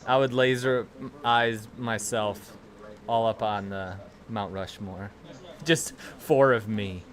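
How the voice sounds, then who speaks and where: A man's voice: on the low side, fairly even intonation, slow. A young man with a Chicago accent, hosting a casual livestream from a small room at home and telling rambling, profane stories to his chat. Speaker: livestream host.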